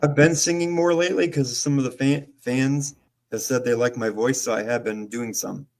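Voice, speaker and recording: A man's voice: mid-range voice, fairly even intonation, moderate pace. A man co-hosting and chatting casually in an informal conversation. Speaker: co-host. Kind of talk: casual chat.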